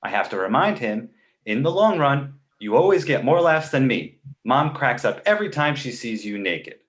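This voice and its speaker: A man voicing a cheeky, crude talking baby boy in an animated comedy table read, sarcastic and blunt. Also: medium pitch, moderate pace, natural voice. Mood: mocking. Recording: roomy echo.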